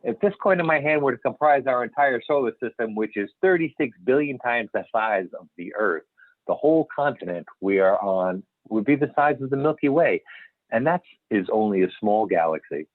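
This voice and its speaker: A man's voice: medium pitch, lively intonation, normal speed, no room sound, close to an everyday voice. A man playing an older, folksy and candid astronomer turned UFO investigator, telling his story in an amateur readers theater over a call. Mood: explanatory. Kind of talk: amateur readers theater, scripted interview reminiscence. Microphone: phone or videoconference call. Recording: band-limited phone-like conference call audio.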